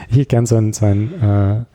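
A man's voice: on the low side, steady tone, moderate pace, dark tone. A man with an Austrian accent chatting casually as an interview guest, thinking aloud and often searching for his words. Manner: hesitant, reflective, searching for words.

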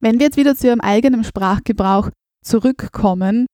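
Austrian woman interviewer, slightly high, mellow, formal, dry sound. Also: a little fast, no room sound. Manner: formal, polite. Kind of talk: interview questions.

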